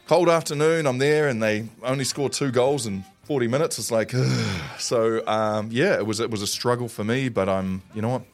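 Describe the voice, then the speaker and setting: A middle-aged man with a loud booming voice, co-hosting a casual morning show and telling a self-deprecating story. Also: normal speed, hardly any pauses, soft background music. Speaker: co-host.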